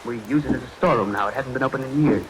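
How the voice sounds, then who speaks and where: An actor playing a frightened, nervous man in a vintage radio horror play, fretful and halting. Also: medium pitch, lightly acted. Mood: uneasy. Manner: panicky, fretful, halting repetitions. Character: frightened, nervous man, brother haunted by a curse. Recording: noisy old radio recording, rain sounds. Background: rain.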